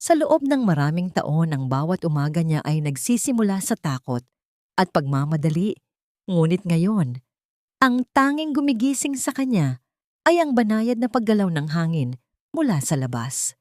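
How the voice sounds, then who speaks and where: A woman's voice: fairly low pitch, very animated, normal speed, darkish tone, dry recording, clean studio sound. A woman storyteller reading a scripted, dramatic story narration.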